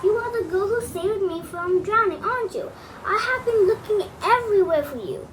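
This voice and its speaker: Woman reading as an earnest, lovestruck young prince, pitched high. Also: animated, normal speed, recorded from a distance, background noise. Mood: excited, puzzled.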